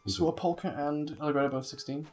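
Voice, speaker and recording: A man's voice: fairly high pitch, fairly even intonation, fast talker, a little mellow. A man co-hosting a video game playthrough with casual, wry, joking commentary. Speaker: let's play co-host. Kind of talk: casual gameplay commentary chat. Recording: game music over the voice. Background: video game music.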